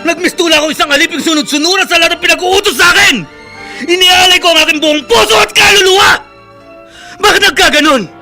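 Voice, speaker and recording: A man's voice: very high-pitched, quick pace, sharp tone, faint music behind, full character voice. A man playing a humble but stubborn young job applicant in a radio drama, pleading and emotional. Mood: anguished, bitter. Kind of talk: Tagalog radio drama acting.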